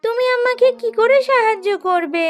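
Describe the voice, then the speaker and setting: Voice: extremely high pitch, moderate pace, faint music behind. A performer voicing a small, timid bird girl under a curse in a fairy-tale cartoon, pleading and anxious. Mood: worried. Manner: pleading, worried.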